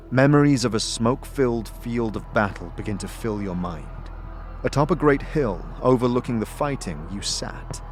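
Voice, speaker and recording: A man narrating an audio drama and speaking as its lead, with hesitant "uh"s in his lines. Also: on the low side. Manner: hesitant, with filler "uh"s in dialogue.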